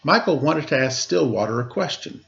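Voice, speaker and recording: A man's voice: medium pitch, lively intonation, moderate pace, gravelly, a bit bright, lo-fi sound, slight background noise. A man reading a story aloud as a storyteller, with informal, explanatory asides to his listeners. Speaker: storyteller reading a picture book, teacher.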